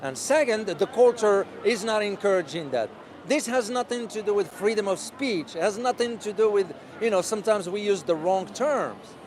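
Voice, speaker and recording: A man vlogging as he walks a city street outdoors, talking loudly and passionately while answering viewers' questions. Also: sits high, animated, moderate pace. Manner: loud and passionate. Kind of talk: casual walking vlog answering live questions. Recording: crowded outdoor street noise. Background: street crowd.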